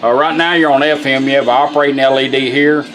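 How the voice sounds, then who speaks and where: A man's voice: mid-range voice, fairly even intonation, quick pace. A middle-aged man with a Southern American accent giving a casual, plainspoken product demonstration in a folksy way. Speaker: radio restorer and product demonstrator. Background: music playing.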